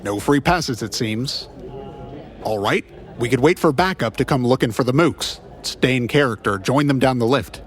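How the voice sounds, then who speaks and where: A man playing a wisecracking, awkward private detective with a rough ex-cop edge in an audio drama. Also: medium pitch, moderate pace, natural voice. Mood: casual, wry. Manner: flustered stammering, flippant quips.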